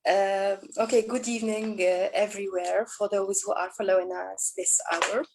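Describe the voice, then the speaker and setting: A middle-aged woman, an Arabic speaker, presenting her book over a video call in a halting, lecturing way. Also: medium pitch, little pitch movement, vocal fry, darkish tone, rough recording. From Tunisia. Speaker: author and teacher. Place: indoor room.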